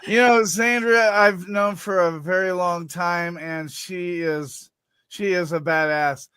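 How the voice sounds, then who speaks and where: Male show host, high bright voice, loose stammery delivery, typing heard behind. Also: fairly even intonation, takes it slowly, clear, resonant tone, no echo, studio quality. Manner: stammering, loose, swears casually. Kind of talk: casual podcast chat.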